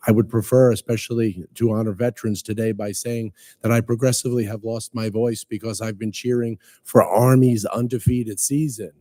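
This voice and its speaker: A man speaks at a veterans breakfast, his voice worn hoarse by a cold. He delivers formal, earnest remarks with touches of light humor. His tone is mellow and dark, and the sound is dry, with little room around it. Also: on the low side, steady tone, normal speed, few pauses, no echo. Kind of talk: formal public speech. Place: city council chamber.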